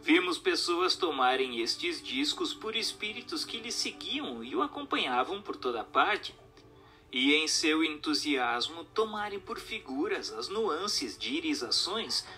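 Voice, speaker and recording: A man delivers scripted narration in a bright, forceful voice that sits a little high. His intonation moves widely while his phrasing stays formal and instructive. Faint music plays underneath. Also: big pitch swings, measured pace. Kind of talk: scripted narration, reading an expository text.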